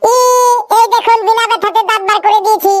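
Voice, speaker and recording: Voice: extremely high pitch, level tone, quick pace. A performer voicing a cheeky, literal-minded, childlike cartoon patient with an earnest way of talking. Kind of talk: comedy cartoon skit dialogue.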